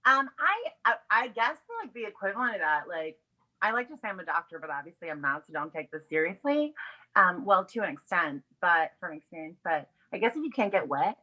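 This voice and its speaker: A woman's voice: fairly low pitch, very animated, fairly quick, pushed and bright, lo-fi sound. A woman chatting casually and candidly as a podcast guest, in a brash, playful, foul-mouthed way.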